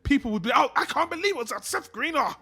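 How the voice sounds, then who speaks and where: A man's voice: extremely high pitch, expressive, fast, creaky, sharp tone. A man hosting a casual film discussion in a rambling, conversational way, swearing freely. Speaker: film review podcast host.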